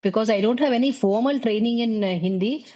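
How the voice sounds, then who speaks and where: A middle-aged woman from South India with an Indian English accent, talking as an interview guest about her teaching work in a relaxed way, leaning on fillers like "you know, like". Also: a little high, a little fast, lo-fi sound. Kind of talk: conversational interview.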